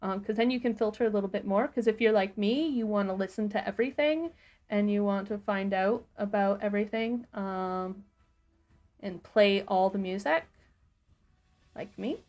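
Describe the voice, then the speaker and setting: A woman co-hosting a livestream, giving a casual step-by-step software walkthrough. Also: medium pitch, moderate pace, dull audio. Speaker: livestream co-host and tutorial presenter; sound designer.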